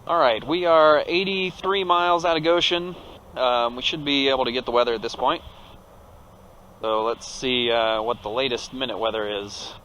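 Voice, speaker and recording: A man with a bright, medium-pitched voice narrates his flying step by step. He is casual and technical, recorded in a cockpit with faint engine noise behind him. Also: normal speed, muffled recording. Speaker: pilot and flight vlogger. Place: cockpit of a turboprop plane in flight.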